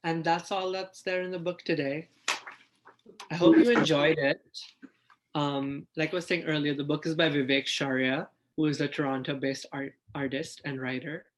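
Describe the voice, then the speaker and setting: A man's voice: fairly high pitch, little pitch movement, normal speed. A man who is a drag artist, sharing stories in an enthusiastic, informal way. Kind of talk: picture-book reading and casual sharing.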